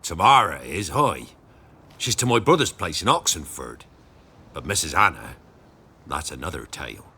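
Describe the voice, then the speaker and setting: A wary, humble peasant in a fantasy video game. His voice is husky and bright-edged, swinging widely in pitch as he talks in rustic, superstitious, vivid phrases. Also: very animated, normal speed, long pauses, full character voice. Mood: resigned, hushed. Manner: deferential, rustic dialect grammar, vivid telling.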